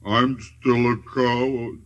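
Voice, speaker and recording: An actor playing a timid, self-doubting cowardly lion with hesitant comic delivery in 1950s radio theatre. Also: male voice, mid-range voice, flat delivery, takes it slowly, fairly bright tone, dull audio. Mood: plaintive.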